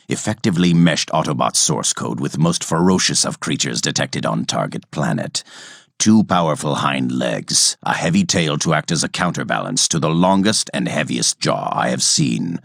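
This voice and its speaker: An actor playing a cold, calculating robot villain scientist in a video game, speaking in a measured, clinical and menacing way with formal diction. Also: male voice, extremely low pitch, vocal fry, dry recording, studio quality, full character voice. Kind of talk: scripted video game character monologue.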